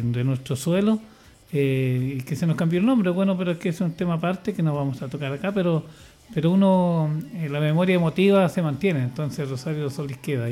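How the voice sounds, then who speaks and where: A man's voice: on the high side, warm tone. An older man speaking in casual radio chat, hesitant and rambling, with many restarts and fillers. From Chile. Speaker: radio guest, church choir leader.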